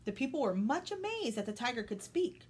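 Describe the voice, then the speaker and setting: A woman's voice: a little high, big pitch swings, fairly quick, darkish tone, breathy edge. A woman reading a children's story aloud as a storytime host. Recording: some background noise.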